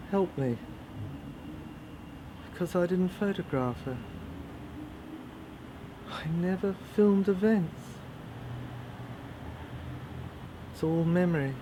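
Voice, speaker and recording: A woman acting a confused, grieving figure haunted by memory, in a fragmented, halting dramatic monologue. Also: on the low side, warm tone. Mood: troubled, grieving. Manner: fragmented, halting, intense delivery. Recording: clearly noisy recording.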